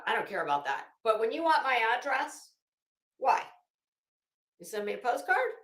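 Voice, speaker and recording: A woman's voice: fairly high pitch, pushed and bright, echoey room. A woman giving casual, opinionated commentary in a rambling, emphatic and blunt way, swearing freely. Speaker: online commentary host.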